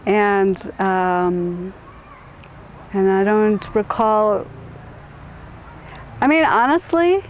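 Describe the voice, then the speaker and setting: A middle-aged woman from suburban Chicago, answering interview questions in a thoughtful, halting way with many restarts. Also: medium pitch, slight background noise, poor audio. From suburban Chicago, USA.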